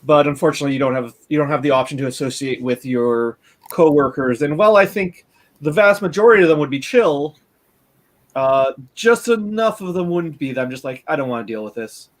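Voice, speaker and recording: Late-30s male panelist, slightly higher pitch, candid and halting, lots of restarts. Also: animated. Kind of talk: casual conversation.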